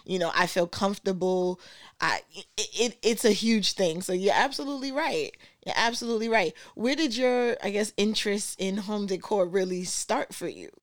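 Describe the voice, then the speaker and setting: A woman's voice: mid-range voice, normal speed. Woman podcast host, friendly affirming chat, casual interview. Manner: supportive, affirming listener.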